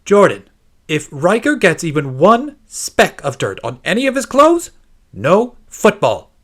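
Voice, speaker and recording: A man's voice: high-pitched, wildly swooping pitch, measured pace, fairly bright tone, voice pushed up, exaggerated voice. A man voicing a stern, exasperated mother who lays down rules in an emphatic, scolding way.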